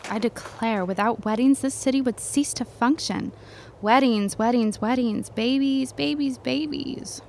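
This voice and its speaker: A woman's voice: a little high, a little slow, creaky, a little mellow, slight background noise. A woman playing a wry, strong-willed young woman in a period audio drama. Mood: teasing.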